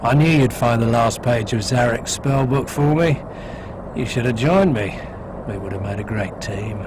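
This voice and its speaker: An actor playing a gloating, scheming villain lord in a game cutscene, speaking with sneering, theatrical mockery. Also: male voice, medium pitch, moderate pace, background noise, natural voice.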